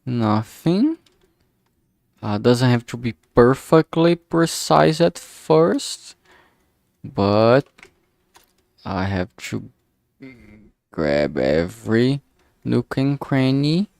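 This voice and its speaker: A man thinking aloud in a casual, halting way while drawing on a stream, talking mostly to himself. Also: medium pitch, sing-song, gravelly, a little mellow, no room sound. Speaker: streamer making visual novel art.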